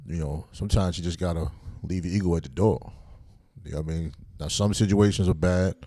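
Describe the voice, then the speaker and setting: A man's voice: deep voice, fairly quick, warm tone, dry recording, clean studio sound. A middle-aged man co-hosting a podcast in laid-back, streetwise casual chat, often asking "you know what I'm saying". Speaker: podcast co-host.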